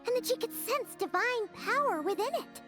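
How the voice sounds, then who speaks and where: A woman voicing a small, chirpy, excitable childlike fairy companion in a video game. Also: very high-pitched, moderate pace. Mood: curious. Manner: excitable, speaks of herself in third person. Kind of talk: video game character dialogue. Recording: music behind the voice.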